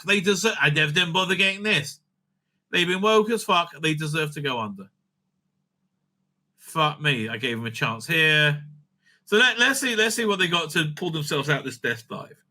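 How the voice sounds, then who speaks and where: A man's voice: sits high, pushed and bright. A man giving casual, unscripted online commentary, sweary, sarcastic and exasperated in manner. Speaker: online commentator reviewing audio drama releases.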